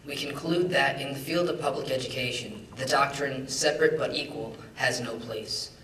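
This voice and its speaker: A man's voice: medium pitch, moderate pace, bright, forceful tone. Echoey young man reading formal legal text. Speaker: student reader. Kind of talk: formal reading of a court ruling. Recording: echoey hall, distant, faint room noise.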